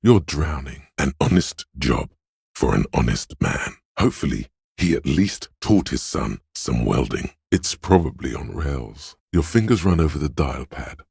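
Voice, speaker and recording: Very deep, gravelly, brooding inner voice. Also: male voice, sing-song, unhurried, a little mellow, no echo, clean studio sound, full character voice. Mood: grave, measured. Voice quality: gravelly, husky. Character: man's inner voice, brooding, tactile, mechanically minded. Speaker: game narrator and inner-voice actor. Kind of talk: literary second-person game narration.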